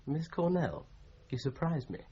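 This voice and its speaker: An actor playing a dry, wry young English solicitor in a radio drama, articulate and lightly ironic. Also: male voice, fairly high pitch, very animated, measured pace, warm tone, husky, muffled recording, full character voice. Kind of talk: 1980s radio drama acting.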